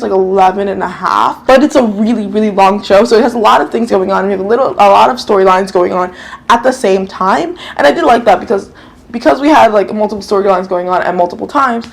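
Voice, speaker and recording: Gravelly-voiced woman rambling a review. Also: mid-range voice. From New York. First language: Japanese. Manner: rambling, self-correcting, swears casually. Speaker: YouTube drama reviewer. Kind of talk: casual chatty review.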